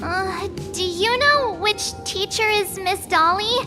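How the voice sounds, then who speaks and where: A woman's voice: very high-pitched, slow pace, in a large hall, over music. A woman playing a cute, forgetful, easily flustered schoolgirl in an anime-style game, hesitant and exclaiming. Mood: puzzled.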